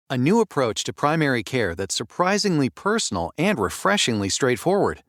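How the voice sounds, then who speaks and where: A man delivers a scripted advertisement with very lively intonation. His read is polished and persuasive, at a medium pitch, recorded close and dry. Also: dry recording. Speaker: advertisement announcer.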